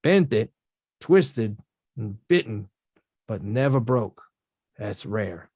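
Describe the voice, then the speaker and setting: A man reading the part of a rough-talking young male boxer at a script table read, slangy and self-mocking. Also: on the low side, animated, slow pace, a little mellow, no room sound.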